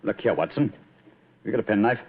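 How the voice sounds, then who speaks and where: An actor playing a shrewd, commanding English detective in a radio drama, with a British accent and a theatrical, authoritative manner. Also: male voice, on the low side, brisk, creaky, husky. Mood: brisk, investigative. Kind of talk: old-time radio drama acting. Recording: tinny, band-limited vintage radio recording.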